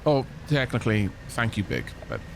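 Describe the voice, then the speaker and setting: A man's voice: medium pitch, animated, moderate pace, gravelly, background noise. Wry man streaming a game. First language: Welsh. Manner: chatty, wry, talks to chat. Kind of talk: casual gameplay commentary.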